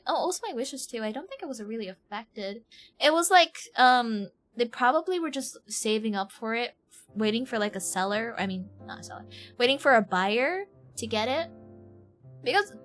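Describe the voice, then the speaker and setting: A woman's voice: a little high, a bit bright. A young woman from Ireland chatting casually as she streams a game, informal and slangy, often self-deprecating. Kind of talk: casual live stream chat. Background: game music.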